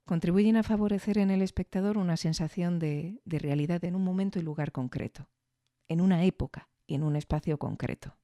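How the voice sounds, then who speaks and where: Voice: medium pitch, dark tone, dry recording, studio quality. A podcast host giving thoughtful, explanatory commentary on an audio drama. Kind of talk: reflective commentary.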